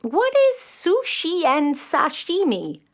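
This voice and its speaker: A woman acting an elderly, unschooled, doting grandmother in a children's audio play, with an expressive, folksy, emphatic way of talking. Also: high-pitched, slow, faint music behind, full character voice. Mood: wondering, amazed.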